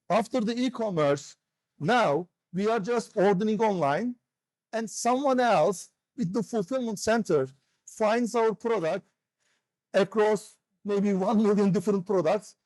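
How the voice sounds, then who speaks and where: High-voiced Turkish-accented man presenting. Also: a little mellow, dry recording, rough recording. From Turkey. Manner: non-native phrasing, frequent uh fillers and restarts. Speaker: company co-founder and CEO presenting. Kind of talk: trade show presentation. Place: noisy trade show floor.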